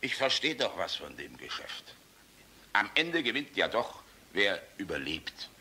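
A man's voice: deep voice, sing-song, a little slow, slightly rough, exaggerated voice. An actor in a German radio play voicing an old, world-weary, passionate general, blustering and fatherly in a rhetorical manner. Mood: exasperated, urgent. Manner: blustering, rhetorical, swinging from outbursts to hushed musing. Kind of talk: German radio drama acting.

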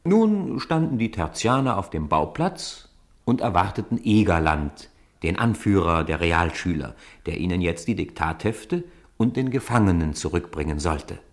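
A man narrating a 1950s radio play, telling a snowball fight with rising excitement. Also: low-pitched, normal speed. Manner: lively storytelling, rising with the fight. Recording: old radio play with crowd noise behind.

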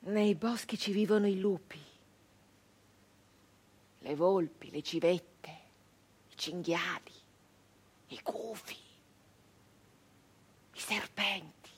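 An actress playing an embittered, wounded brigand woman of the nineteenth century in a theatrical monologue, speaking in halting, emphatic short phrases with a husky voice. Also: mid-range voice. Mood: haunted, hushed. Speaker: stage actress.

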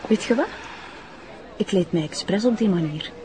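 A woman's voice: medium pitch, lively intonation, moderate pace, a crowd in the background, natural voice. A woman playing a bitter, defiant young runaway addict in a TV drama, with a Flemish accent and a coarse, contemptuous way of talking. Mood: bitter, scornful. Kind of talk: naturalistic TV drama acting.

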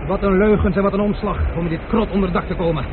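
A man's voice: high voice, fairly even intonation, fast, phone-quality sound, heavy background noise, close to an everyday voice. An actor playing an impatient, blustering nobleman traveller who threatens an innkeeper, in an old radio play. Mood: grumbling. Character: hot-tempered Dutch nobleman traveller.

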